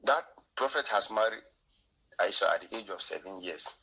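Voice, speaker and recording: A man from Ghana with a Ghanaian accent, Twi his first language, arguing his view in a heated debate, halting and repeating himself. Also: fairly low pitch, animated, bright, forceful tone, poor audio. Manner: repetitive, halting, argumentative. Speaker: guest.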